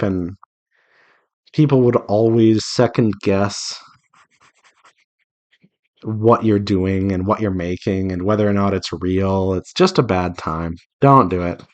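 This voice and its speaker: A man talking casually and earnestly in a rambling, advice-giving way, like an artist chatting while working. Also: mid-range voice. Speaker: visual novel developer and artist hosting a drawing devlog.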